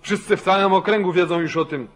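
Actor as a stern landowner, higher-pitched man. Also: fairly even intonation, fast talker, natural voice. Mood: suspicious, accusing. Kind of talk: 1940s Polish radio drama acting.

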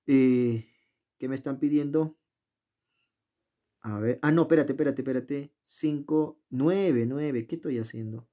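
Chatty math tutor, mellow voice. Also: male voice, medium pitch, measured pace, pauses often, dull audio. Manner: chatty, asks the viewer questions, talks to himself while solving. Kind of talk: casual worked-problem lesson. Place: indoors at a desk, filming the worksheet from above.

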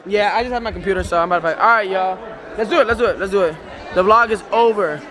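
A young man in his twenties from Colombia, chatting playfully to a handheld camera as a vlogger after a concert. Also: high-pitched. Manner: upbeat, playful banter, quick introductions. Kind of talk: casual vlog chat. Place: church venue after a concert, crowd around. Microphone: camera held at arm's length. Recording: loud crowd and music behind.

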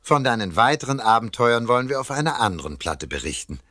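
An actor playing a chatty narrator who tells a children's story and addresses the listeners. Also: male voice, medium pitch, big pitch swings, fast, pushed and bright, no echo, clean studio sound.

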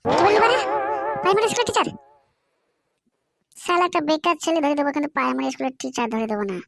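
A hot-headed young cartoon man, played by a woman, pitched high and loud. He scoffs and scolds. The sound is close and dry. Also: big pitch swings, fast talker, creaky, dry recording, full character voice. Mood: mocking outrage. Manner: loud, scornful, foul-mouthed. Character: hot-headed, mocking young man guarding his sister's marriage. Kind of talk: comic cartoon dialogue.

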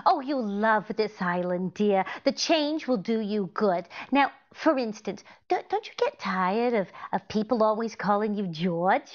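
A woman's voice: mid-range voice, lively intonation, a little slow, few pauses, natural voice. A woman acting a scatterbrained, sweetly earnest wife in 1940s-style radio comedy. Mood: sweetly coaxing. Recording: slightly dull video-call audio.

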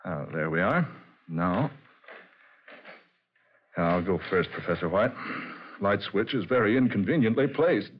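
A man's voice: on the low side, animated, unhurried, long pauses, a little hiss or hum. An actor playing a plain-spoken, uneasy man who guides a visitor, in a 1940s radio drama style. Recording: dull 1940s radio recording.

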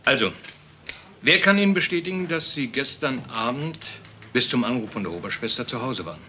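An actor playing a suspicious, sardonic police detective in a radio crime drama, asking pointed, persistent questions. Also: male voice, mid-range voice, expressive, fairly quick, lightly acted. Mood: cool, pressing. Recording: faint background noise.

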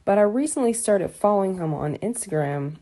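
A young woman giving a casual, teasing comedic reaction into a phone, halting for emphasis. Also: mid-range voice, lively intonation, mellow. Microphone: phone at arm's length.

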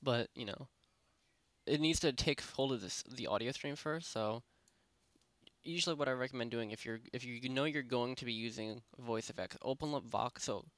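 Rambling young man explaining software. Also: mid-range voice, normal speed, no echo. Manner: rambling, many fillers and self-corrections. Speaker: tutorial host. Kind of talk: casual screen-recorded software tutorial. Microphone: desktop microphone at a PC.

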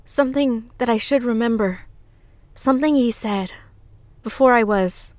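A woman acts out a young woman in a comic drama, soft in manner yet eerily probing. Her voice is high and recorded dry and close. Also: unhurried, dry recording, close to an everyday voice. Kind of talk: comic dub acting.

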